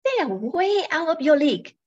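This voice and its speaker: A woman's voice: sits high, big pitch swings, moderate pace, a little mellow. A woman playing a sensible, scolding farm-raised twin sister in an amateur radio mystery, on a muffled recording. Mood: exasperated. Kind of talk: amateur old-time radio comedy-mystery acting. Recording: dull, muffled sound.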